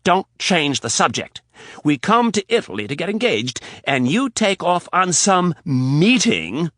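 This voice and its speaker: A man voicing a furious, jealous young fiancée in an audiobook, loud and sarcastic. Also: mid-range voice, wildly swooping pitch, a little slow, husky, no echo, studio quality, full character voice. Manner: shouting, biting, sarcastic. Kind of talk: audiobook character voice.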